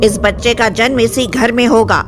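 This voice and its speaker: A woman's voice: a little high, fairly even intonation, normal speed, music in the background, natural voice. A woman voicing a commanding, threatening young pregnant wife possessed by a witch spirit in a horror story. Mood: menacing.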